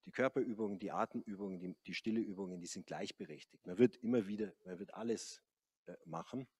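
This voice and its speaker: A man's voice: lowish voice, quick pace, a little mellow, husky, dry recording. A middle-aged man, a yoga teacher, talking on a café panel with a handheld mic and many hesitant fillers. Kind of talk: panel conversation. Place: large café hall, indoors.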